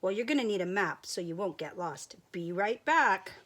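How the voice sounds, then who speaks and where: A woman's voice: mid-range voice, expressive, moderate pace, a bit bright. A woman voicing a bossy cheerful little sheep. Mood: eager. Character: cheerful dancing female sheep, bossy know-it-all.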